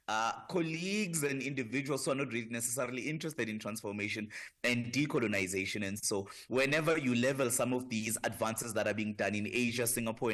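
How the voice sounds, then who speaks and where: A man's voice: medium pitch, fairly bright tone. A man from South Africa with a South African accent, a professor guest on a radio talk show, speaking with impassioned emphasis in building lists. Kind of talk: radio discussion.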